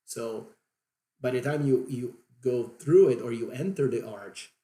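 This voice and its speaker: A man's voice: medium pitch, measured pace, warm tone, echoey room. A Brazilian man in his forties explaining at length with many fillers and restarts, in a Brazilian accent. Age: 40s. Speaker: diving vlogger. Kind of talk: unscripted explanation.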